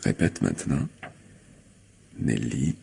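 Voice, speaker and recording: An actor playing a cruel, domineering and manipulative lover in a radio mystery drama, commanding and mocking. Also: male voice, a bass voice, lively intonation, slow, gravelly, mellow, husky, heavily acted. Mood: cold commanding.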